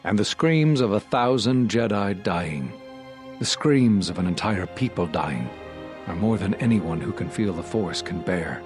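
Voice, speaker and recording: Deep, solemn Jedi master. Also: male voice, expressive, over music. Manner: measured, sombre, earnest. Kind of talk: dramatic video game dialogue.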